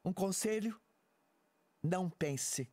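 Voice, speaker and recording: A woman's voice: fairly low pitch, lively intonation, slow pace, dark tone, a little hiss or hum. A woman playing a commanding, persuasive military commander in an audio drama, authoritative and insistent. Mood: firm, persuasive.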